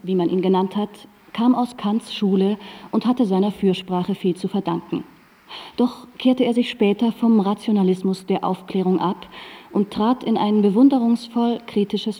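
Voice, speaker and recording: A woman narrating a radio play as a composed, erudite guide, with measured, articulate and factual delivery. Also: medium pitch, mellow, long reverb tail, lightly acted.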